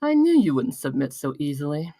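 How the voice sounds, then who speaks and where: A woman's voice: low-pitched, big pitch swings, brisk, gravelly, mellow, no echo, studio quality, full character voice. Woman as a smug, theatrical tycoon villain. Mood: gloating. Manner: theatrical, mock-genteel, formal. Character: smug, menacing, well-spoken male business tycoon villain. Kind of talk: screenplay table read.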